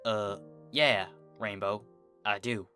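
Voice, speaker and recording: A narrator voicing an anxious, humble female farm pony who speaks in a halting, folksy way, in a cartoon fan story. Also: low-pitched, fairly even intonation, pushed and bright, clear, resonant tone, dry recording, faint music behind, voice pushed down, heavily acted. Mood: stammering.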